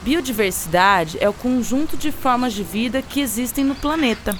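A woman's voice: fairly high pitch, expressive, normal speed, slight background noise, close to an everyday voice. A woman playing a kindly, playful aunt who loves nature in a radio drama, with a Brazilian accent and a patient, explaining manner. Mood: patient, enthusiastic.

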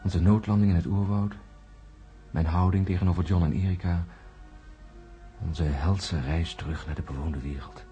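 Male actor as grim astronaut narrator, deep voice, measured, ominous radio play storytelling, music behind. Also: fairly even intonation, dark tone, close to an everyday voice. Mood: grave, reflective. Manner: grave, measured. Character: grim astronaut Peter recounting his ordeal and warning of doom. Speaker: narrator and main character. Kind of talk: dramatic first-person radio drama narration.

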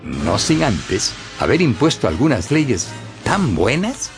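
A man narrating a scripted story for radio as an expressive storyteller. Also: mid-range voice, slow pace, music in the background.

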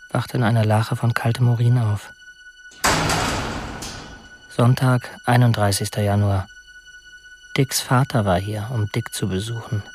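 Man playing young guy locked up, lonely and brooding, short diary bits, medium pitch, bit of music behind. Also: darkish tone, close to an everyday voice. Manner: clipped, fragmentary diary sentences. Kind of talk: documentary radio drama monologue.

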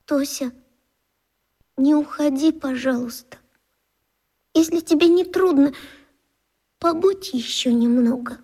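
A woman's voice: high-pitched, takes it slowly, long pauses, warm tone. An actress playing a polite, timid schoolgirl in a children's radio play. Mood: pleading, hesitant. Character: timid, polite schoolgirl hosting her friend at home.

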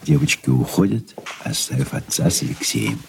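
Very deep, creaky male narrator. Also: sing-song, normal speed. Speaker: radio play narrator. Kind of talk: reading stage directions. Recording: faint background noise.

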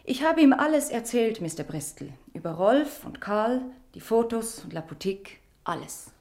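Anxious, high-voiced woman confessing. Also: sing-song, normal speed, a little mellow. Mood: anxious, urgent. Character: frightened, confessing young woman with drug addiction. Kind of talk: German radio crime drama acting.

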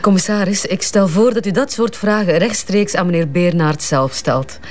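Actress as brisk, guarded Flemish businesswoman. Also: on the low side, a little mellow, natural voice. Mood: guarded, defensive. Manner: curt, defensive, evasive. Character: brisk, guarded, haughty businesswoman at advertising firm. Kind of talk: naturalistic Flemish radio crime drama.

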